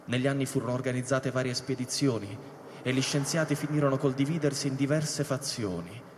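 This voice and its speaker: A man narrating a scripted radio play in a measured, expository manner. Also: medium pitch. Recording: long reverb, faint ambient noise behind.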